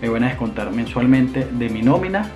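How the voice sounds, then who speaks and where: A man's voice: mid-range voice, animated, a little fast, dark tone, muffled recording, faint music behind. A young man from Venezuela with a Venezuelan accent, talking earnestly to camera as a vlogger from a room at home, gesturing with his hands. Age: young adult. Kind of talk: casual explainer to camera.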